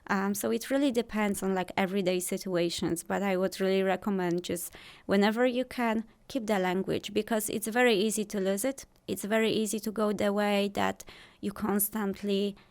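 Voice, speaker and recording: A woman in her thirties with a Polish accent, explaining her views calmly as a guest on a talk show. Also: medium pitch, moderate pace, clear, resonant tone. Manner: thoughtful, explanatory, frequent "like" and "you know". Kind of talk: casual interview talk.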